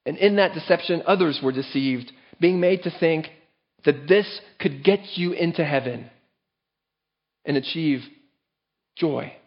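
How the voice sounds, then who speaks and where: A man's voice: on the high side, measured pace, long pauses. Earnest preacher man, slightly muffled recording. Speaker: pastor. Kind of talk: sermon.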